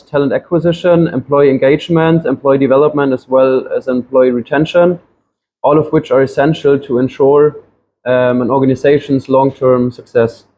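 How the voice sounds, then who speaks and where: A man's voice: mid-range voice, darkish tone, muffled recording, slight background noise. A man, giving a classroom presentation with frequent ums and restarts. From Germany. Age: 20s. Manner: hesitant, many ums and restarts. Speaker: student presenter.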